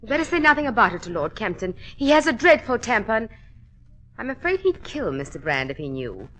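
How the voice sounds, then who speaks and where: A woman's voice: on the high side, sing-song, brisk, fairly bright tone. An actress playing a refined, anxious English noblewoman in a 1940s radio drama, formal and dramatic. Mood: worried.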